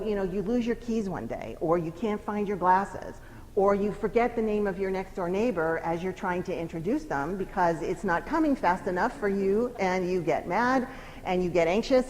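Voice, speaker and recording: Lively woman lecturing in a hall. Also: lowish voice. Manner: lively, emphatic, conversational teaching. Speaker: psychology professor giving a talk. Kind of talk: lecture presentation. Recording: long hall reverb, faint noise.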